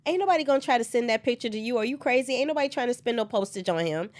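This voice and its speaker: A woman's voice: on the high side, racing. Chatty woman hosting a soap recap. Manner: animated and chatty, laughs often, talks back to the characters. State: slightly hoarse from a cold. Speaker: host of a soap opera recap show. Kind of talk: solo casual recap chat.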